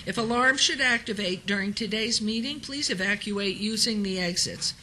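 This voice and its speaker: Hoarse woman chairing a council meeting. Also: mid-range voice, normal speed, pushed and bright, a little hiss or hum, poor audio. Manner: reads a set script, then chats lightly with colleagues. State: hoarse from a cold. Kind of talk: formal procedural meeting chairing. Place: city council chambers.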